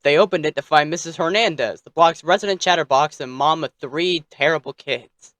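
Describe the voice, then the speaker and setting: A man's voice: on the high side, fairly quick, a bit bright, no echo. A man telling a bedtime story as narrator, theatrical, with playfully snippy asides.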